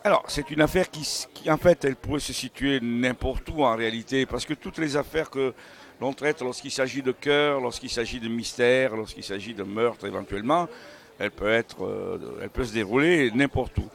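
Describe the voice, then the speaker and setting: Halting male novelist in conversation. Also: mid-range voice, vocal fry. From Italian family background. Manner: halting, searching for words, digressive. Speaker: novelist presenting his books. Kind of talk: unscripted interview about own novels. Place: book festival.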